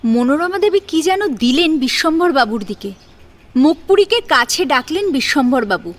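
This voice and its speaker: A woman's voice: high voice, lively intonation, moderate pace. A woman narrating a scripted family drama as an audio story.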